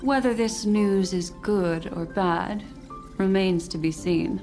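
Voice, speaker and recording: A composed female envoy from a video game speaks over soft background music. Her medium-pitched voice is poised and articulate, with a courteous, formal manner. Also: takes it slowly. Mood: grave, measured. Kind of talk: video game dialogue acting.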